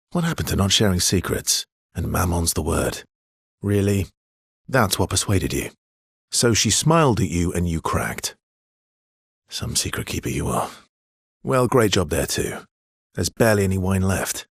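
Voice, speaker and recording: Husky wry adventurer for a video game, creaky low voice, kinda halting and teasing. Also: male voice, no echo, clean studio sound, exaggerated voice. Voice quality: husky, gravelly. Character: wry, guarded adventurer man with a soft heart. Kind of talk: naturalistic game dialogue acting.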